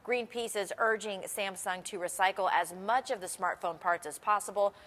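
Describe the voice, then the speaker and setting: An American woman anchors a morning newscast in a scripted broadcast delivery. Her voice sits at a middle pitch for a woman. It carries a long reverb, as if in a hall. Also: moderate pace, a bit bright.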